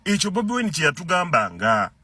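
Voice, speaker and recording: A man's voice: on the high side, fast talker, breathy edge, no echo. A man with a Ugandan accent delivering an impassioned political rant, repeating and tripping over words as he gets worked up. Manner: animated, repeats words and stumbles when heated. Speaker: concerned citizen commentator.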